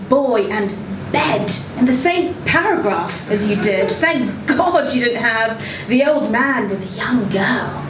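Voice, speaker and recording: Condescending book editor, acted by a woman, higher-pitched, deliberate and blunt, satirical live reading in a noisy room. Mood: arch, mock-earnest. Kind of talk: dramatic reading of a satirical letter. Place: indoor social venue at live reading event. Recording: roomy, noisy live venue, distant mic.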